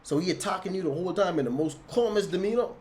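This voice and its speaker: A man's voice: high-pitched, expressive, fast, a little mellow, a little hiss or hum. A man hosting a casual podcast, laid-back and emphatic. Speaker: podcast host.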